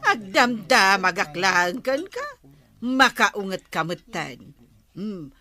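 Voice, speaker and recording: A woman's voice: mid-range voice, lively intonation, slow, bright, forceful tone. A woman playing a nosy, teasing aunt in an Ilocano comedy drama, prodding and drawling, with a Filipino Ilocano accent. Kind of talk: Ilocano radio drama acting.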